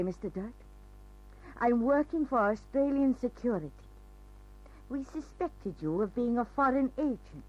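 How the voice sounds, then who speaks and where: An actress playing a composed, glamorous undercover agent with a slight foreign accent in an old radio thriller. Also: female voice, lowish voice. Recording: muffled old radio recording, music behind.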